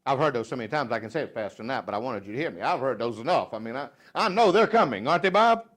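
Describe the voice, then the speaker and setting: A man in his fifties with a Southern accent, giving a folksy, joking live speech to a room of salespeople, swinging between loud and hushed. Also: medium pitch, animated, very fast. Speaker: motivational sales speaker. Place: dealership meeting room.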